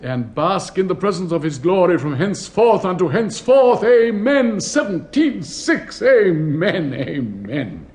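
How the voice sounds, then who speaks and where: An actor in a radio play as a pompous, sentimental older public-school headmaster with an upper-class English accent, declaiming in fussy, plummy tones. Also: male voice, high-pitched, big pitch swings, measured pace, hardly any pauses, a little mellow. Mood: pompously pious. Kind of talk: theatrical British radio play acting.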